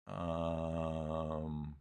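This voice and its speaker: A man hosting a casual live software tutorial, talking haltingly and muttering to himself as he works through things on screen. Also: very deep voice, monotone, smooth. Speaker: live stream host, software tutorial presenter. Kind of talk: casual unscripted screen-share walkthrough.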